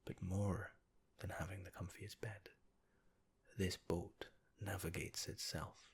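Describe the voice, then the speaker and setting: A man with a very low, deep voice whispers a calming guided relaxation. His delivery is slow and unhurried, with a husky, breathy texture throughout. He speaks in soft, soothing second person. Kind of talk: ASMR guided relaxation narration.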